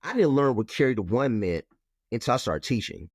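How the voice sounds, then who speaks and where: Brief: low, firm-toned male educator, emphatic repeats, dry close sound. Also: expressive, fairly quick, dry recording. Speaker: math educator and author. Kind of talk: conversational interview.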